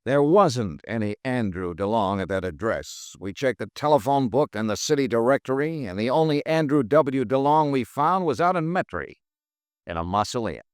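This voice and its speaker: A man's voice: medium pitch, lively intonation, normal speed, smooth, no echo, natural voice. A man playing a wry hard-boiled radio detective. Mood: brisk. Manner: clipped, dry, wisecracking delivery. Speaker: insurance investigator narrating his own case. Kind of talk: 1950s radio drama acting.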